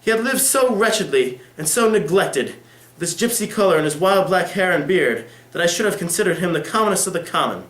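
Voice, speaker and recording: A man reading the part of a calm, discreet lawyer who speaks formally and meditatively in a novel. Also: sits high, fairly quick, few pauses, pitched above the performer's own voice. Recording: echoey room.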